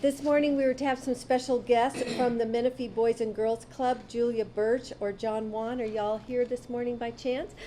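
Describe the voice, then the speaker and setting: A woman's voice: fairly high pitch, steady tone, normal speed, hardly any pauses, background noise. A woman emceeing a student awards breakfast, graciously thanking volunteers and guests one by one. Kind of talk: ceremony announcements.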